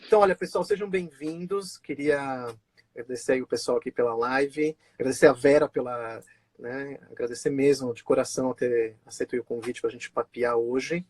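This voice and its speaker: A man's voice: medium pitch, moderate pace, no echo. A man born in Israel and raised in Brazil, hosting a casual live-stream conversation over a video call, chatty and full of fillers and restarts. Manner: chatty, many fillers and restarts, laughs often.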